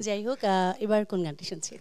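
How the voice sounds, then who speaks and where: A woman with a Bangladeshi accent hosts a music talk show in a soft-edged, mellow tone. She speaks quickly and conversationally, often circling back over her phrases in a halting way. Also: medium pitch, clear, resonant tone. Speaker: host.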